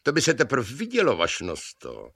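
Muž hraje drzého, netrpělivého a vychloubačného mladíka, který v povídce huláká a vytahuje se. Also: male voice, medium pitch, wildly swooping pitch, bright, forceful tone, husky, no echo, clean studio sound, pitched above the performer's own voice, full character voice. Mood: impatient, boastful. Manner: hollering, cocky. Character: brash long-haired young man called Luck, boastful, impatient.

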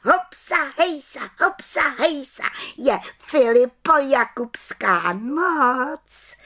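Little witch for a kids storybook, high swoopy voice, bossy and excitable. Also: no room sound, clean studio sound, heavily acted. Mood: jubilant. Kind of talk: storybook character voice.

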